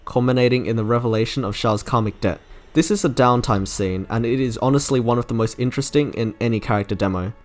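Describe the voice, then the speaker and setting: Man narrating a scripted review over music. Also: mid-range voice. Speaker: video essayist, narrator. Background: music playing under the narration.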